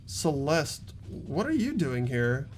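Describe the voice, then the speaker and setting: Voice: medium pitch, sing-song, slow. A performer playing an earnest, inquisitive teenage schoolboy in game dialogue. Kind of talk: fan dub of visual novel game dialogue.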